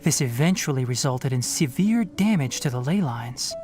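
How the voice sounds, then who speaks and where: An actress playing a calm, knowledgeable investigator who explains findings in a measured way and takes charge, in video game dialogue. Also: female voice, a bass voice, faint music behind. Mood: serious.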